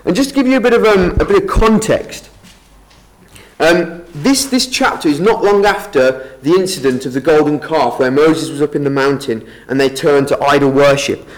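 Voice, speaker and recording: A man's voice: fairly high pitch, normal speed, rough recording. A man giving a Bible talk to a congregation, earnest and explanatory, often restarting his sentences. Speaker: lay preacher. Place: meeting room with an audience.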